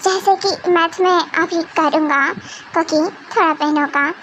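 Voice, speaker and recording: Someone playing a hesitant, tender young husband, high-voiced. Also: steady tone, normal speed, a bit bright, slight background noise. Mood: coaxing, serious. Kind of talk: amateur romantic audio drama.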